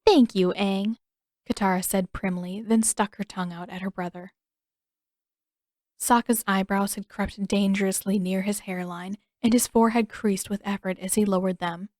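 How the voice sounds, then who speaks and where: A woman narrates a story in an expressive, measured way. Her voice sits at a medium pitch, and the recording is very dry. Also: no room sound. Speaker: audiobook narrator.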